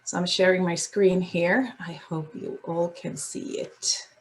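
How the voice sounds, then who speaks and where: A woman from Sweden with a Swedish accent, presenting on a video call in a casual, halting way, with many fillers and restarts. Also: on the low side, steady tone, a little hiss or hum, low-quality recording. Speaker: guest presenter. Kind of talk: casual online talk with slides.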